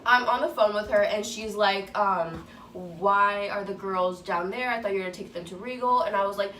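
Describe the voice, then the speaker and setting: A woman's voice: medium pitch, moderate pace, fairly bright tone. A teenage girl with a young, babyish voice rambles through a story, full of fillers and swears. She sounds set back from the mic in a roomy space, with a faint background hiss. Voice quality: youthful, babyish voice. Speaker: vlogger. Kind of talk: casual storytime chat. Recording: echoey room, distant mic, faint noise.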